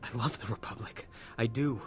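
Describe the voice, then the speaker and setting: An actor playing a nervous, earnest, war-weary soldier in a video game. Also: male voice, medium pitch, normal speed, soft background music, lightly acted. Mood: bitter, weary.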